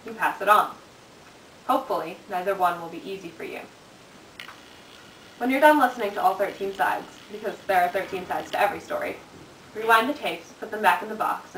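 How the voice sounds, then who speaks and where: A woman's voice: medium pitch, a bit bright, reverberant, lightly acted. A teenage girl acting as a bitter, sardonic dead girl narrating confession tapes in a student film. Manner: deliberate, sardonic, dramatic delivery. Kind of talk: scripted dramatic monologue for amateur student film. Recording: hissy, distant amateur room recording.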